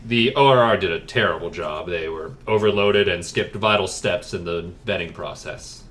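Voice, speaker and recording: A man playing a solemn expert in a forced low voice for a scripted comedy explainer. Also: normal speed, a bit bright.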